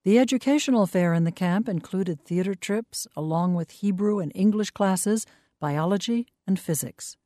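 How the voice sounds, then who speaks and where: A woman reading scripted documentary narration in a measured, even way. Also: medium pitch, no echo, clean studio sound.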